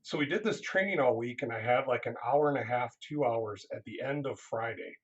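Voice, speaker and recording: A man's voice: medium pitch, fairly quick, lo-fi sound. A man, a counseling professor, speaking earnestly in a casual interview, often restarting his sentences.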